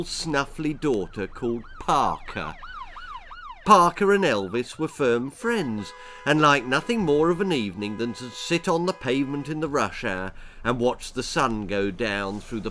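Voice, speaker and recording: A man's voice: medium pitch, a bit bright, a little hiss or hum. A man with a British accent reading his own story to children in a dramatic storytelling style. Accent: British English. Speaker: author reading own stories.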